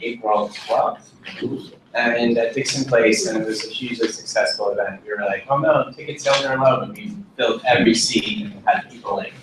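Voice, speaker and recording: A man's voice: mid-range voice, fairly even intonation, a little fast. A man leading a meeting, talking to the group with encouragement and frequent restarts. His voice is distant and wrapped in heavy room echo, with some background noise. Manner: warm, encouraging, repeats words. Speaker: event organizer. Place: large rehearsal studio, indoors. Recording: echoey room, far camera mic.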